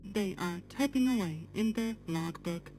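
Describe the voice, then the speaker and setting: Woman as a polite, slow-spoken AI assistant. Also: mid-range voice, dark tone, soft background music, poor audio. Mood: polite, wistful. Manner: measured, halting, formal, avoiding contractions. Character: polite artificial-intelligence female assistant, apologetic and gently earnest. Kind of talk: slow guided talk to a live chat.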